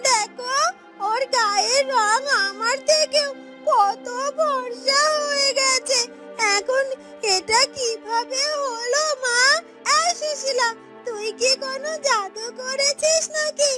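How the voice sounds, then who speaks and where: A bossy, greedy young stepsister from a fairy tale cartoon, voiced by a woman. She is extremely high-pitched, whiny and demanding. Music runs clearly underneath. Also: takes it slowly. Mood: jealous, threatening.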